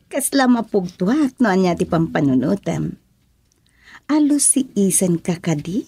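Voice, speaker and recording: A woman playing a chatty, teasing friend in a radio drama, with a husky voice. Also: lowish voice, lively intonation, slow pace, mellow, no room sound, exaggerated voice.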